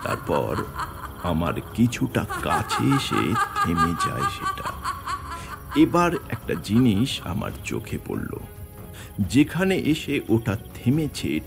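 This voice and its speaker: A man narrating a horror story in the first person, with suspenseful, theatrical, deliberate phrasing over music. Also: fairly low pitch, creaky.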